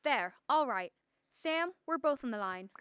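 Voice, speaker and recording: A teenage student actress playing a spirited, devoted young sweetheart in a radio drama, in an emotive manner. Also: female voice, high-pitched, animated, measured pace, a bit bright, smooth, no room sound. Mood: tender, nervous.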